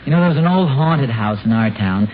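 A man's voice: mid-range voice, animated, fairly quick, natural voice. An American man playing a mild, easily flustered suburban husband and father in an old-time radio sitcom, with a hesitant, mildly exasperated manner. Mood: chatty. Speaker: actor in a radio comedy. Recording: tinny old radio broadcast, loud noise, audience.

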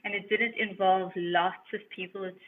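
A woman's voice: medium pitch, steady tone, normal speed, narrow, tinny audio, a little hiss or hum. A South African woman in her thirties, a guest artist in a casual podcast interview, speaking thoughtfully with frequent ums and restarts.

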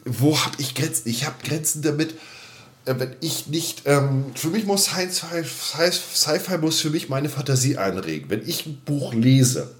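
A man's voice: fairly high pitch, animated, moderate pace. A man co-hosting a podcast in casual fan chat, hesitant and roundabout, often repeating himself. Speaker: podcast co-host.

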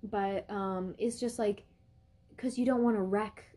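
A woman's voice: fairly even intonation, measured pace, dark tone. Chatty young woman podcasting from her dorm. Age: young adult. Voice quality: high-pitched. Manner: chatty, lots of fillers and asides. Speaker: podcast co-host. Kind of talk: casual chat. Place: dorm room.

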